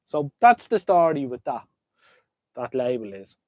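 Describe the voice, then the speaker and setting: A man's voice: mid-range voice, sing-song, unhurried, dry recording, lo-fi sound. An Irish man with a slight head cold, sniffling as he chats casually and rambles to viewers while hosting a live stream. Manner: chatty, rambling, talks to viewers. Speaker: livestream host. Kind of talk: casual livestream chat. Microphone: desk microphone.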